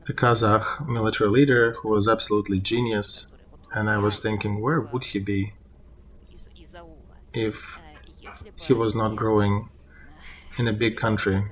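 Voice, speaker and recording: A man interpreting a political discussion live, in halting phrases as he translates on the fly. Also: fairly low pitch, low-quality recording.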